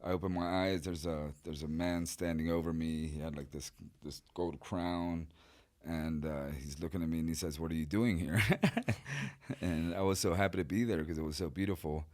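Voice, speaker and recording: A middle-aged man from Chile, a guest artist telling his life story in a relaxed, rambling way with many fillers. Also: a bass voice, moderate pace. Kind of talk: casual interview storytelling.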